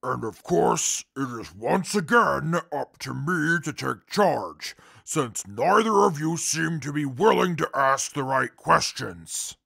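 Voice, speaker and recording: A man voicing a stern, impatient, superior non-human companion, husky and curt, in a comic audio drama. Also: fairly high pitch, slow pace, hardly any pauses, no echo, studio quality, full character voice. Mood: disdainful, impatient. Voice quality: very gravelly, husky. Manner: curt, commanding, deadpan, disdainful. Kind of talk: comic post-apocalyptic audio drama acting.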